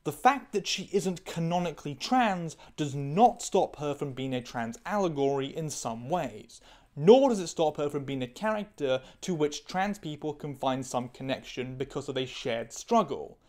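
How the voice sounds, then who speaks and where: A woman's voice: low voice, darkish tone. A woman reading her own scripted video essay, candid, opinionated and analytical. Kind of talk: scripted commentary.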